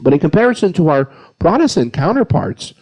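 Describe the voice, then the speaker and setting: A man's voice: on the high side, big pitch swings, brisk, a little mellow, breathy edge, low-quality recording. A man speaking as a priest on a panel, giving an informal, plain-spoken explanation point by point. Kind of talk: informal explanatory answer to a question.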